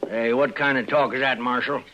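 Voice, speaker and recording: An American actor playing a stubborn, grudging old rancher in a 1950s radio western, with folksy, cantankerous rural speech. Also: male voice, mid-range voice, steady tone, a little fast, sharp tone, close to an everyday voice. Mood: grumbling, defiant. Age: older. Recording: dull vintage radio recording.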